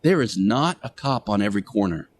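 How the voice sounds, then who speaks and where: An earnest religious teacher played by a man delivers a radio sermon in a fairly low voice. He is preachy and admonishing, leaning on key words with deliberate pauses and repetition. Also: lively intonation, husky. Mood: stern, exhorting. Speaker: radio show host. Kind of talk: radio monologue, moral sermon.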